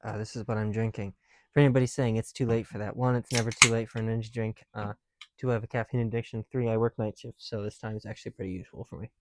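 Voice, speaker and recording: A man chatting informally as an ASMR creator, sweary and teasing, drifting between soft murmurs and louder talk, breathing audibly through a thick mask. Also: on the low side, little pitch movement, rapid-fire. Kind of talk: casual first-person ASMR build chat. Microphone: close mic, speaking through a mask.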